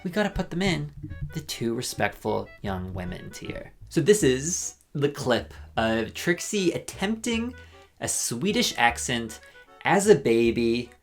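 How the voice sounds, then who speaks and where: A man giving a rambling, sarcastic video commentary with deadpan asides. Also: medium pitch, unhurried, faint music behind. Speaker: commentary YouTuber. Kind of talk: ranking commentary.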